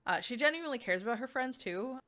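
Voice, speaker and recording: A woman giving a casual, chatty game review as a streamer, speaking informally and haltingly with lots of filler words. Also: fairly high pitch, expressive, sharp tone. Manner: informal, halting, filler-heavy speech with frequent "like".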